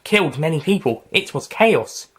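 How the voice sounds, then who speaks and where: A man voicing a female military commander in an audio story, brisk and explaining step by step. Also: fairly high pitch, expressive, husky, pitched above the performer's own voice.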